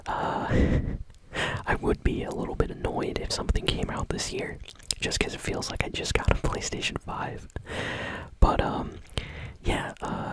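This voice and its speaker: A woman hosting gaming news in an ASMR style, talking softly, informally and without hurry. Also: low-quality recording. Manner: soft, unhurried, informal. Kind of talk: whispered ASMR news commentary.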